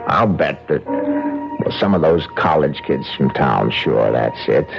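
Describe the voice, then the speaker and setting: A man's voice: extremely low pitch, animated, takes it slowly, gravelly, full character voice. An American man playing a stubborn, wry middle-aged farmer in a radio drama, with a folksy, teasing manner. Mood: puzzled. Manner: folksy, teasing, muttering to himself. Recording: dull old radio recording, loud music.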